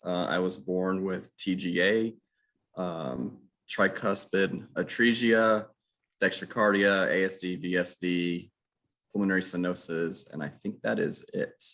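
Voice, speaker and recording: A man's voice: deep voice, measured pace, a bit bright. A man in his late thirties introducing himself in a matter-of-fact way on a casual podcast. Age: 30s. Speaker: podcast guest.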